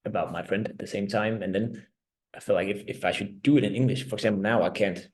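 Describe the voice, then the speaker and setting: A man in his thirties, a native Danish speaker with a Danish accent, giving reflective interview answers as a band's vocalist, often halting and searching for words. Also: fairly low pitch, fairly even intonation, darkish tone. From Denmark. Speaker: band vocalist and lyricist.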